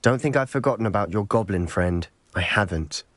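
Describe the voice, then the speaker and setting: A man's voice: lowish voice, lively intonation, fairly quick, fairly bright tone, dry recording. A man playing an intense, guarded young student wizard in a video game, speaking in terse, pointed phrases. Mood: guarded, urgent.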